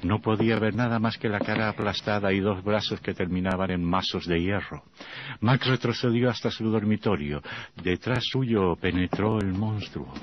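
An actor playing a sinister storyteller, narrating radio horror with theatrical, morbid relish. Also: male voice, lowish voice, noisy background, natural voice. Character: sinister male horror storyteller, gleefully macabre doctor. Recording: dull old radio recording with music.

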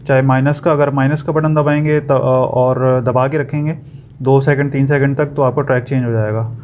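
A man's voice: mid-range voice, fairly even intonation, moderate pace. A man gives an informal step-by-step product tutorial, explaining patiently and often checking in with short tags. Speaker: product reviewer giving a tutorial. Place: indoor room, tabletop demonstration. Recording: dull sound with background noise.

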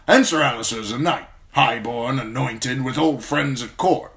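A man voicing a blunt, gruff old military lord who gives brusque orders, in an audiobook reading. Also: mid-range voice, measured pace, crowd noise, pitched above the performer's own voice.

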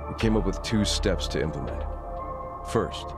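An actor playing a solemn, composed yakuza chairman in a video game cutscene, speaking in a measured, authoritative way. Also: sits low, very animated. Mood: calm, calculating. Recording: loud music bed behind.

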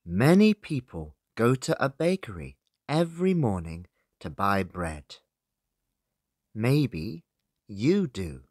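A man's voice: lowish voice, slightly rough, no echo, studio quality. A British-accented man narrating a scripted beginner listening lesson as a teacher, in simple, separated phrases for learners. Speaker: English teacher.

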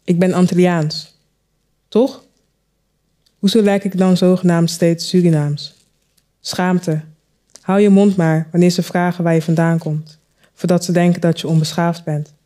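A woman storyteller performing a spoken word piece, with deliberate, dramatic phrasing and rhetorical questions. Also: on the low side, level tone, dark tone. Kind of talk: spoken word recitation, poetic monologue.

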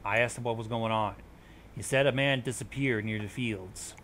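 A man's voice: lowish voice, steady tone, moderate pace, a bit bright, a little hiss or hum. A man hosting a reaction show, reading on-screen text aloud and adding commentary.

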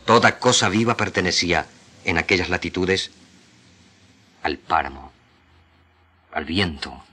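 Very deep-voiced man reciting solemnly. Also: animated, sharp tone, slight background noise. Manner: solemn, measured phrasing with pauses. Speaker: radio drama actor reading literary excerpts. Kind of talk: dramatic literary recitation.

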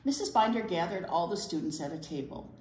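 Older female storytime reader for children: lower-pitched, expressive, recorded in a roomy space. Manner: expressive, pausing for asides to young listeners. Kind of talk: reading a picture book aloud to children. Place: indoor library storytime set. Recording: roomy indoor sound.

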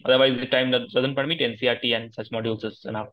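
Young indian accent guy answering interview qs, normal pitch, halting n polite, on a headset. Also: fairly even intonation, fairly bright tone, low-quality recording. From Kolkata, India. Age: 20s. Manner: halting, deferential, hedging. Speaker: interview guest, successful exam candidate. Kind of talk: conversational interview answers, giving advice. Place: small room at home, video call.

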